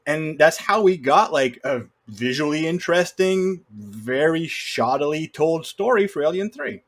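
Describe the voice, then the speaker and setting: A man's voice: a little high, animated, a little slow. A man talking casually about films as a podcast panelist, opinionated and fond of acting out imagined quotes to make his points. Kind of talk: casual film discussion.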